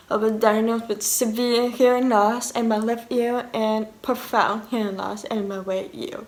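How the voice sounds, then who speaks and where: A young woman from Canada speaks in a fairly high voice, her French and deaf accent plain in every phrase. She tells her story candidly, with a casual vlogger's restarts and fillers. The room around her gives an echoey ring. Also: a little mellow. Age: 20s. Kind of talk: casual personal vlog.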